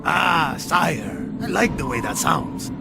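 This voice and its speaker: An actor playing a wry, exasperated Spanish mercenary in a video game, with a Spanish accent and a sardonic manner. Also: male voice, a little high, takes it slowly, creaky, heavily acted. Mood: curious, teasing. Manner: sardonic, animated, peppers talk with Spanish words. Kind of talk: video game cutscene acting. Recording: loud music behind the voice.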